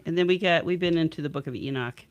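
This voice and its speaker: A woman from northern California with a husky, worn voice, a guest paranormal investigator chatting casually with a rambling, hesitant manner. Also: fast, no echo, lo-fi sound. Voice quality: husky, lowered voice. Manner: relaxed, rambling, hesitant with fillers. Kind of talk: casual chat.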